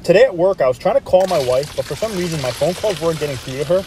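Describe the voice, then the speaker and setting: Fast-talking man with a high-pitched voice. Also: noisy background. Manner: animated retelling, acting out dialogue. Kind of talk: casual comedic anecdote telling.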